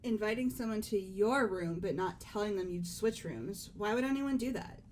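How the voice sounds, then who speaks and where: A woman's voice: medium pitch, moderate pace, a little mellow, close to an everyday voice. A woman voicing a poised, inquisitive student in a fan-dubbed mystery game. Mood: probing. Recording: faint background noise.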